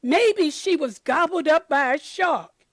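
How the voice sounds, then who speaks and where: Storybook schoolchild, played by a woman: high, worried, gossipy, deliberate pacing. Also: lively intonation, no room sound, studio quality. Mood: speculating.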